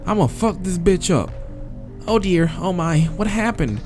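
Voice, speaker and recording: A man's voice: high voice, animated, measured pace, darkish tone, faint music behind, voice pushed up. A man voicing a dramatic, indignant visual novel character who exclaims in a sassy, crude way. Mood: indignant, shocked.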